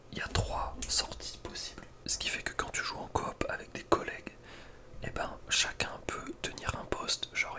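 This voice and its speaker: A man whispering casual, slangy ASMR commentary close to the microphone, with hesitant asides. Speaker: ASMR content creator.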